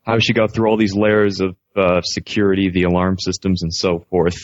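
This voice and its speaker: A man's voice: sits low, flat delivery, normal speed, no room sound. A man from Canada calling a radio show over the internet, explaining things in a casual, polite, tentative way. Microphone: internet voice call. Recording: dull, lo-fi internet call audio.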